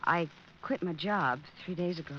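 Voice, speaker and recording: A woman's voice: fairly low pitch, moderate pace, slight background noise, lightly acted. Pert young dame from old radio played by a woman. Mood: matter-of-fact. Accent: American. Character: plucky, pert young American woman client. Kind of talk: 1940s radio drama acting. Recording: dull vintage radio broadcast.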